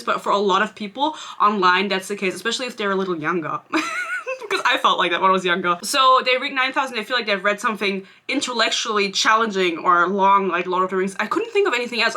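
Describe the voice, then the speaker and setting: A woman's voice: mid-range voice, pushed and bright. A young woman in her twenties whose first language is German, chatting casually as a book vlogger, rambling and sarcastic, laughing often. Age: 20s. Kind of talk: casual chat.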